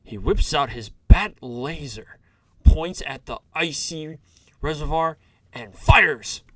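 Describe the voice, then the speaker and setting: A man narrating a campy retro serial in a theatrical, deliberate, scripted style. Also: fairly high pitch, animated, no echo.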